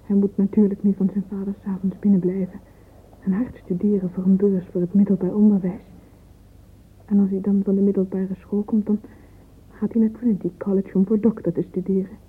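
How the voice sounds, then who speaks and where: Husky, wistful lovesick young woman. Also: medium pitch, level tone, fairly quick. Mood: wistful, hurt. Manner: wistful, yearning. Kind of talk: radio drama interior monologue. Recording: muffled, phone-like radio play recording.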